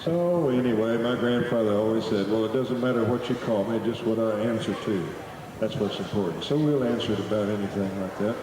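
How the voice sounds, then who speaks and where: A man's voice: fairly low pitch, a little fast, background noise. A middle-aged man, talking to a live audience between flute pieces in a folksy, joking storyteller's manner. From Oklahoma. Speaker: flute performer addressing a festival audience. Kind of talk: live stage talk with folksy humor. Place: outdoor festival stage. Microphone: stage PA microphone. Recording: echoey live recording from far off. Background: festival crowd.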